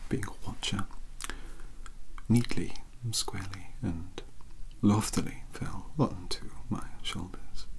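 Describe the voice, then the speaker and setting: A man with a British accent playing a bookish, polite young occult mentor in a soft-spoken, breathy ASMR roleplay, with formal, hesitant phrasing. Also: low voice, steady tone, slow pace, low-quality recording. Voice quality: breathy, whispery. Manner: scholarly, formal, hesitant, wordy.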